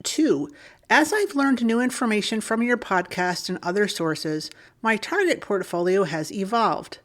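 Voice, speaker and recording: A woman co-hosting a podcast, reading a listener's email aloud in a steady, clear manner. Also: mid-range voice, unhurried.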